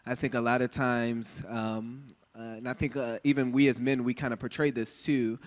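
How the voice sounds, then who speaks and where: A man answering panel questions in a measured, thoughtful way, often pausing with "um". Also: medium pitch, little pitch movement. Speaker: relationship panel guest.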